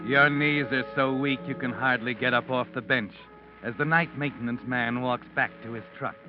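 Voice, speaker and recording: A man acting a taunting narrator of a vintage radio mystery. His mid-pitched delivery is insinuating and dramatic. Music plays behind the muffled recording. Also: fairly even intonation, moderate pace, close to an everyday voice. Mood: tense, ominous. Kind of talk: scripted second-person radio mystery narration.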